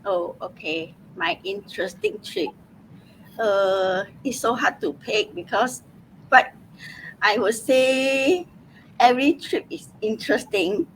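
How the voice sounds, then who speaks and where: A woman tells a story at an unhurried pace in a casual live chat. Her pitch rises and falls freely. She halts often to search for the right word. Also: very animated, a little hiss or hum, rough recording. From Malaysia. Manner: slow, halting, searches for words. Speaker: panelist, digital business owner.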